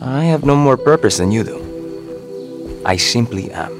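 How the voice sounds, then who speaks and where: An actor playing a serene, enigmatic author who serves as a guide in a video game, speaking in a measured, formal, philosophical way. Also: male voice, medium pitch, expressive, music in the background. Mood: calm, reflective. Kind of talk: cinematic game dialogue.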